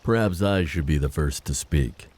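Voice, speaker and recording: Casting: male actor for noble prince of the sea, extremely low dark voice, grave and formal, audio fantasy drama. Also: lively intonation, moderate pace. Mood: grave, sorrowful. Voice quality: very deep, dark. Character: solemn, dutiful undersea prince guarding his hunted people.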